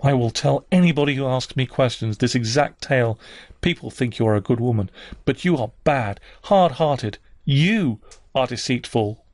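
A man's voice: medium pitch, animated, normal speed, no room sound, close to an everyday voice. A man voicing a wounded, defiant young girl in a storybook reading, vehement and accusing with emphatic delivery. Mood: anguished, indignant.

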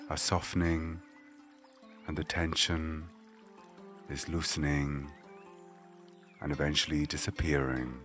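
A man leading a guided meditation, giving gentle, measured spoken instructions. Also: extremely low pitch.

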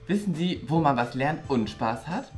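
A woman's voice: low-pitched, lively intonation, normal speed, faint music behind. A woman playing a flustered, bossy male teacher in a comedy sketch, rambling and impatient. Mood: eager. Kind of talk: improvised-sounding comedy sketch acting.

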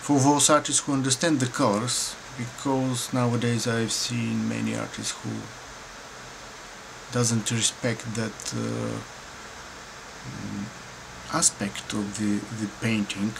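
A middle-aged man from Bulgaria with a Bulgarian accent, answering questions to camera at home in a slow, halting, thoughtful way with many pauses. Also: mid-range voice, flat delivery. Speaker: painter and filmmaker. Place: dim room indoors at home. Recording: clear room noise behind.

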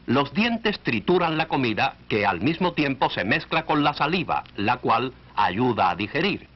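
A man's voice: mid-range voice, very animated, moderate pace. A man narrating a 1940s educational film in an instructive way, speaking directly to the listener. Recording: dull, band-limited 1940s film soundtrack.

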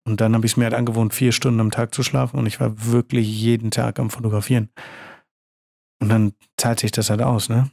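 A man with a medium-pitched voice and plenty of fry chats casually as a guest. His speech is colloquial and full of slang, and the sound is dry. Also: level tone, brisk, warm tone, no echo. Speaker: interview guest, photographer. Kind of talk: casual chat.

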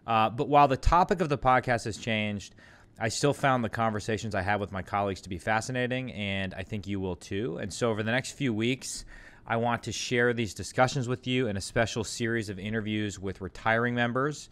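A man hosting a podcast interview, joking and relaxed, with frequent ums and uhs. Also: on the low side, brisk. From Midwest. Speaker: podcast host, congressman. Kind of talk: casual interview.

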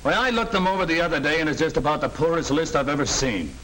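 An actor playing an earnest middle-aged American sales manager in a 1940 training film, speaking in a firm, instructive way. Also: male voice, a little high, expressive, fast, fairly bright tone. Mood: reflective, earnest. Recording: noisy old 1940 film soundtrack.

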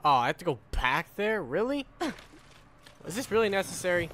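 A man's voice: high-pitched, very animated, normal speed, a bit bright, a little hiss or hum. A man giving casual live gameplay commentary, muttering questions to himself and swearing mildly. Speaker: gaming YouTuber. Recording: faint game sound behind commentary. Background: video game sound.

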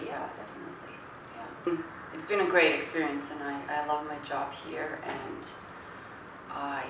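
Thoughtful woman, roomy and distant. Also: low-pitched. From Serbia. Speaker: interviewee, university worker. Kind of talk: interview answers. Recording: roomy, distant mic, clear noise.

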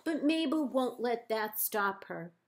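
A woman librarian reading a children's picture book aloud in measured, deliberate phrases with pauses. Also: fairly high pitch, animated, slight background noise. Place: indoors, book held up to camera.

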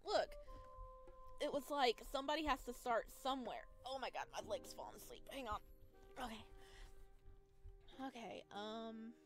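Expressive woman streamer over music. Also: a little high, moderate pace, long pauses. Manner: expressive, exclaiming in bursts between read passages. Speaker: streamer playing a visual novel. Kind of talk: reading game text aloud with excited live reactions.

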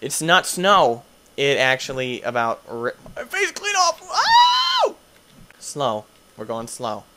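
A young man doing goofy gameplay commentary, tired, his voice deeper late at night, swinging between low mumbles and excited yelps. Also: takes it slowly, raised voice, background noise. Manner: goofy, playful, jumps between mumbling and yelping. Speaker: gaming youtuber.

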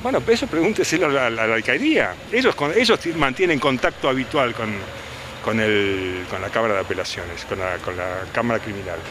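Insistent older lawyer outdoors. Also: male voice, mid-range voice, lively intonation, a little fast. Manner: insistent, rhetorical questions. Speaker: defense lawyer. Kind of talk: press interview. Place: outdoors by a detention unit. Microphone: handheld reporter mics. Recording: outdoor street noise.

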